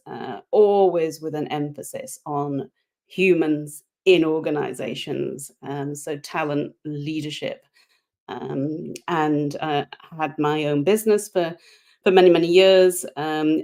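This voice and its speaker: Chatty woman, lowish voice. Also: darkish tone. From United Kingdom. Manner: chatty, self-deprecating humor, frequent repeated words. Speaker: podcast guest, organizational psychologist. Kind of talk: casual interview chat.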